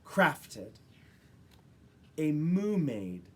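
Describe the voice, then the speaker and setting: A woman reads a children's picture book aloud in an expressive way, with dramatic pauses and emphasis for young listeners. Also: low-pitched. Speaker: children's story reader.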